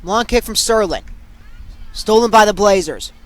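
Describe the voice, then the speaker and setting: Young adult male sportscaster, very high-pitched, excitable, clipped delivery for live game commentary. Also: moderate pace, dry recording. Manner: excitable, punchy delivery in short clipped phrases. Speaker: play-by-play sportscaster. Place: at sports venues, live during games.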